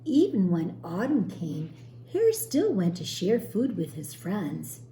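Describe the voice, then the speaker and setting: A woman teacher reading a storybook aloud expressively to young children, pausing to explain and ask questions. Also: mid-range voice, very animated, dark tone. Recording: roomy echo, faint background noise.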